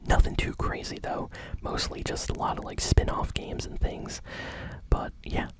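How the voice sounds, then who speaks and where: A woman hosting a gaming news show in a soft, breathy ASMR whisper, speaking in a casual, unhurried, fan-like way. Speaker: host of a gaming news channel.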